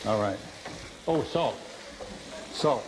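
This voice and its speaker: A man's voice: fairly low pitch, expressive, takes it slowly, noisy background. An older man hosting a comic cooking show, folksy and rambling, with a dry sense of humor.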